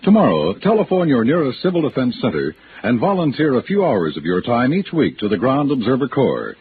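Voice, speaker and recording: A man's voice: mid-range voice, huge pitch swings, fairly quick, a little hiss or hum. A man announcing a 1950s radio program from a script in a formal, emphatic manner. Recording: old narrow-band radio recording with music.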